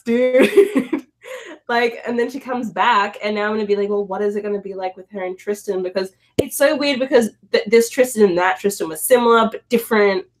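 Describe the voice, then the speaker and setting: Woman, mid-range voice, rambling informal book-talk, slangy and loosely phrased. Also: medium pitch. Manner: filler-heavy, slangy "like" and "dude". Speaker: book discussion panelist. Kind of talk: casual rambling chat about a novel. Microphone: video call.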